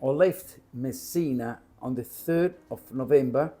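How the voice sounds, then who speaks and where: An older man from Calabria with a strong Italian accent, chatting while cooking, halting and repeating words, slipping into Italian. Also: mid-range voice, dark tone, soft background music. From Calabria, Italy. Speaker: home cook. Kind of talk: casual chat while cooking.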